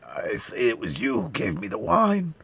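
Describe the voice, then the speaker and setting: A man voicing a dying, poisoned soldier who accuses bitterly in strained, drawn-out, anguished outbursts, in an audiobook story. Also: high voice, wildly swooping pitch, gravelly, a bit bright, husky, voice pushed up, full character voice. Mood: bitter, accusing.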